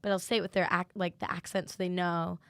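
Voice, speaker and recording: A woman's voice: lowish voice, flat delivery, fast talker, clean tone, no echo. A woman with an American accent, co-hosting a podcast and chatting in a rambling way with lots of fillers. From half French. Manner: chatty, rambling, heavy use of "like". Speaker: podcast co-host. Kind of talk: casual chat.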